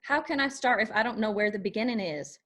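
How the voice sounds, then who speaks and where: A woman's voice: a little high, quick pace, fairly bright tone. A woman acting a defiant, caring daughter with a blunt, wry manner in a screenplay reading. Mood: pressing, frustrated.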